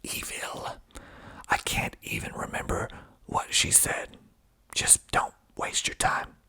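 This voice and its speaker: A man speaking in a breathy, husky ASMR whisper, reading aloud and adding deliberate, dry asides. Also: sharp tone. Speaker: filmmaker hosting his own video.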